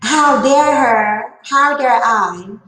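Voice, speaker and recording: A woman's voice: a little high, drawn-out words, a bit bright, echoey room, soft background music, poor audio. A woman nearing fifty giving a candid opinion monologue, emphatic and repeating her phrases for effect. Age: late 40s. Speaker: online panel talker.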